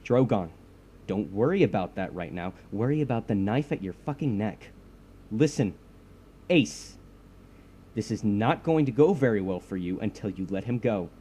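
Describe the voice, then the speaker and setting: A man playing a pompous, self-righteous superhero in an audio drama, husky and threatening. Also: lowish voice, animated, moderate pace, warm tone, a little hiss or hum, lightly acted. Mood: menacing.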